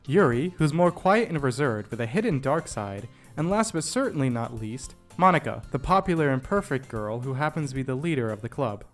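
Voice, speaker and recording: A man's voice: mid-range voice, expressive, fairly quick, few pauses. Young man narrating over background music. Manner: self-deprecating, jokey. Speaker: YouTube video essayist. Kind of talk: scripted narration with comedic asides.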